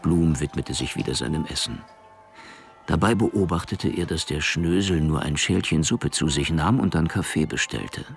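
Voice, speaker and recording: A man narrating a crime radio drama in a dry, measured storytelling manner. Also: a bass voice, creaky, soft background music.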